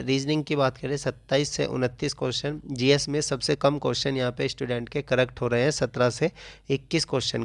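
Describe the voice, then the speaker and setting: A man's voice: medium pitch, dry recording. A man explains like a coaching teacher in an informal analysis, often restating his phrases.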